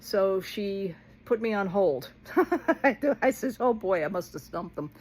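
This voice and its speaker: A woman's voice: medium pitch, animated, measured pace, a little mellow, low-quality recording. A woman talking casually to her followers in a rambling vlog rant, blunt, exasperated and colloquial, retelling conversations as she goes.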